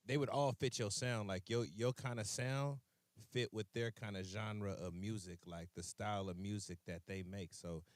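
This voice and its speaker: A man with a fairly low voice hosts a relaxed music interview. He talks informally with slang, often repeating a phrase for emphasis, and is recorded dry and close. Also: moderate pace, no room sound. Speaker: music show host, interviewer. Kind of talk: casual interview chat.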